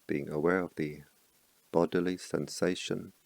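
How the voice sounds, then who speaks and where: A man leading a guided meditation as a teacher, speaking slowly and calmly in soft, spaced-out phrases with long pauses. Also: low voice, gravelly, no echo. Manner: slow, calm, soft-spoken instructions with long pauses.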